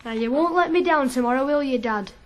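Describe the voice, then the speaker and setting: An earnest, stubborn young farm lad from a radio play, high in pitch and rustic. The old broadcast recording is clearly noisy. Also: male voice, a little fast. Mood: earnest, hopeful. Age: young adult. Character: stubborn young ploughboy, devoted to his horses. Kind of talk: 1980s radio drama acting.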